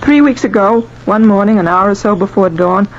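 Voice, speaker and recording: Terrified young bride-to-be played by a woman, halting and dramatic, mid-range voice, muffled vintage radio drama. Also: mid-range voice, lightly acted. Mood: anxious, confiding. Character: frightened young bride-to-be haunted by a ghost. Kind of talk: 1950s radio drama acting. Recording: dull, noisy old radio recording.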